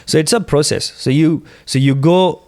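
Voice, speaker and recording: A man's voice: a little high, normal speed, darkish tone. Young man chatting casually. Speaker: podcast co-host. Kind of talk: casual podcast chat.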